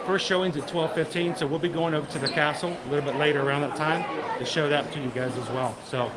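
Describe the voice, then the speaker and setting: A man's voice: on the high side, steady tone, fast talker, over a noisy crowd, poor audio. A man hosting a casual livestream, chatting and joking with viewers as he walks an outdoor theme park; he stumbles and repeats words now and then. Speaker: livestream host. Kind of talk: casual live-stream chat with viewers.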